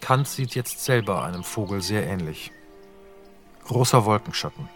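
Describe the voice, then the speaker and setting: Man reading stage directions, low voice. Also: lively intonation, moderate pace, faint music behind. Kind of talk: scripted radio play narration.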